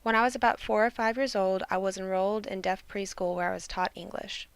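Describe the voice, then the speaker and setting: A woman student interpreter voicing a signer's story in calm, measured first-person narration. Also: medium pitch, smooth, no room sound.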